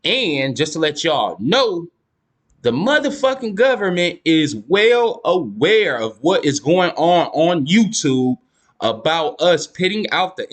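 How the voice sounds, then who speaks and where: A young man giving casual commentary, reading court filings aloud to viewers and calling them y'all. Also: a little high. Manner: slangy, addresses viewers as y'all, reads documents aloud with stumbles. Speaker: YouTube case-file commentator.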